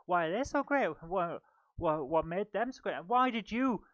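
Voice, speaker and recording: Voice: mid-range voice, expressive, moderate pace, dry recording, lightly acted. A comic acts out an aggrieved monkey in a comedy bit, complaining in a Geordie accent. The creature is questioning and indignant, heard in dry, slightly muffled livestream sound. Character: indignant monkey, grumbling about great apes, easily soothed by bananas.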